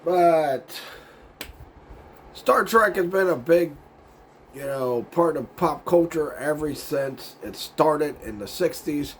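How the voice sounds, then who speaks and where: A man hosting his own video show, with a voice gone raspy and hoarse from a sinus cold, chatting in a loose, meandering way, losing his words and chuckling now and then. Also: on the high side, lively intonation, slow pace, slight background noise. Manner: laid-back and meandering, loses his words, chuckles often. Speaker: YouTube show host. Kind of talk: casual rambling vlog chat.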